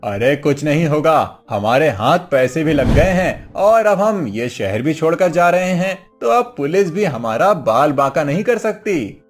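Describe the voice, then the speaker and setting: A man's voice: a little high, lively intonation, a little slow. A man playing a brash, bullying but cowardly street thug, dramatically acted for a cartoon story. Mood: brushing it off. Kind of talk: Hindi cartoon story acting.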